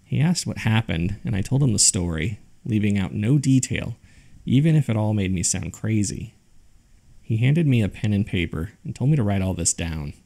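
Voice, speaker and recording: A man's voice: fairly low pitch, expressive, moderate pace, gravelly, warm tone. A man reading scripted narration as the host of a horror fiction podcast. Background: background music.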